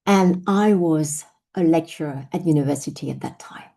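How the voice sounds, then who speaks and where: Low, mellow-voiced woman with French accent. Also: normal speed, vocal fry. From France. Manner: reflective, many fillers and restarts. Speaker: invited speaker. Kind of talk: personal storytelling talk. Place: online session.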